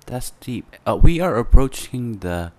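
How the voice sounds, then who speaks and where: A man co-hosting a podcast, who talks in halting starts, repeating words and laughing often. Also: medium pitch, very animated. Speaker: podcast co-host. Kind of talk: casual conversation.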